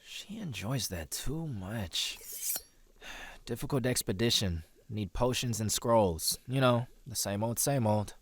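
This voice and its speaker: An actor playing a temperamental young male crusader in a fantasy audio drama, gruff and impatient. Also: medium pitch, lively intonation, takes it slowly. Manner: gruff, grumbling, sighing impatience.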